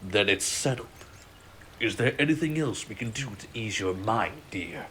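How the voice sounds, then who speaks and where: An actor playing a benevolent, commanding king in a romantic audio drama, speaking in a formal, measured, courtly way. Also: on the low side, lively intonation, a bit bright, a little hiss or hum. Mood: gentle, considerate.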